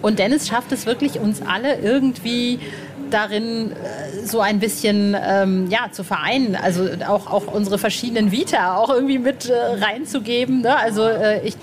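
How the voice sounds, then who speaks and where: A woman's voice: on the high side, normal speed, crowd noise. A woman hosting a casual podcast interview, chatty with hesitant phrasing. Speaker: podcast host.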